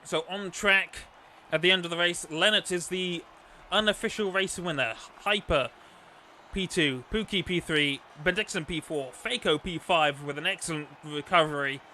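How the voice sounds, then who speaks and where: A man's voice: high voice, moderate pace, sharp tone, a little hiss or hum. A man commentating a sim racing league on a live stream, chatty and rambling, losing his voice. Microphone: desktop microphone.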